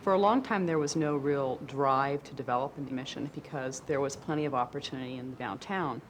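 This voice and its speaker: A speaker explaining economic history in a lively way during a documentary interview. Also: medium pitch, brisk, a little hiss or hum. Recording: clear music bed under voice.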